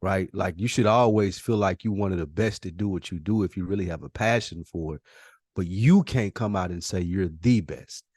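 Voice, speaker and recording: Lower-voiced man hosting, dry sound. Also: moderate pace, a little mellow, husky, dry recording. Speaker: podcast host. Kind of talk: casual hosted conversation.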